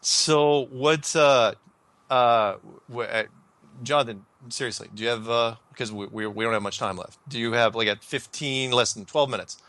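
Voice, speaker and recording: A man hosting a casual interview, agreeable and thinking aloud in a halting way. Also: medium pitch, a bit bright. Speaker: show host.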